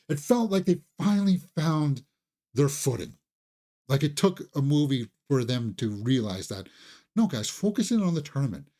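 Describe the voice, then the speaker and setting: A man hosts a casual film review in a conversational, fan-like way. His pitch moves around a lot, rising and dropping as he makes his points. He sounds like an enthusiast talking straight to viewers. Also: darkish tone. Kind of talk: casual video movie review.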